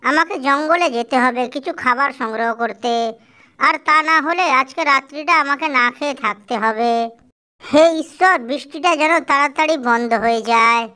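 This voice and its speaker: A woman voicing a small, anxious mother tailorbird in a cartoon, with a high, thin, pleading voice. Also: a little slow, pushed and bright. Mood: worried. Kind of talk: cartoon voice acting.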